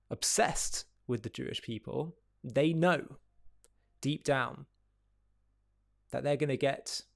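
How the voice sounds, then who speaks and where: Male presenter, mid-to-low voice, deliberate reflective delivery, dry recording. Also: creaky, no echo. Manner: measured, deliberate, phrase by phrase. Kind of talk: reflective commentary to camera.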